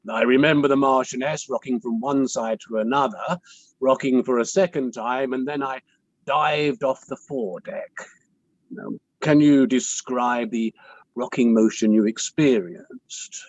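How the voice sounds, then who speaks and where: A man's voice: medium pitch, fairly even intonation, unhurried, dry recording, lightly acted. Man as courteous counsel, slightly muffled. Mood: courteous, methodical. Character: courteous, methodical male inquiry counsel. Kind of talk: formal questioning in a play table read.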